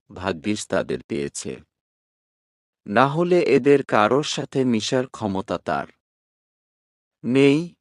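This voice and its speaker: A man's voice: on the low side, dry recording, clean studio sound. A man narrating a scripted romantic story expressively.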